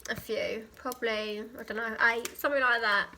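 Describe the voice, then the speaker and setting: A young British woman chatting casually and telling an anecdote in a giggly, animated way. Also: on the high side, a little fast, heavy background noise. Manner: giggly, animated storytelling with acted quotes.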